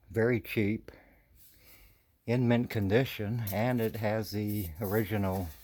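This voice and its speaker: A man with an American accent chatting casually and haltingly for a video, his articulation a little slurred from missing teeth. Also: fairly low pitch, a little mellow. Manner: hesitant, halting, folksy. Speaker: vintage audio collector presenting his channel. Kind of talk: casual unscripted video chat.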